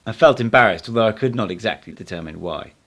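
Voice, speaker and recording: A man's voice: fairly low pitch, lively intonation, fast, a bit bright. A man reading a first-person literary story aloud as an amateur audiobook narrator.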